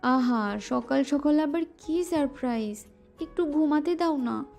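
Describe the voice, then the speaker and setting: A woman's voice: high-pitched, measured pace, gravelly, darkish tone, faint music behind. A woman playing a playful pouting young wife. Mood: playful, affectionate. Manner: teasing, pouting. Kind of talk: romantic audio drama acting.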